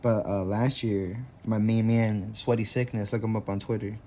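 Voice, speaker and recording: A man's voice: on the low side, fairly even intonation, moderate pace, warm tone, slight background noise. A man in his twenties hosting a casual craft tutorial, rambling easily with lots of fillers. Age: 20s.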